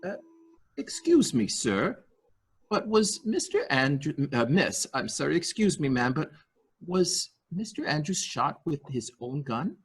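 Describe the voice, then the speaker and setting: A performer playing a polite, hesitant servant loyal to the employer, with a husky voice, in a dramatic murder mystery reading. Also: mid-range voice, very animated. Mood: apologetic, deferential. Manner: halting, polite, deferential.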